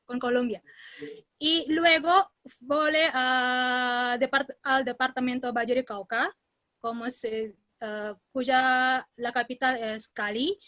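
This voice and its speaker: A woman from Indonesia with an Indonesian accent, giving a seminar presentation in beginner Spanish, often pausing to search for words, over a video call. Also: sits high, steady tone, pushed and bright, no room sound.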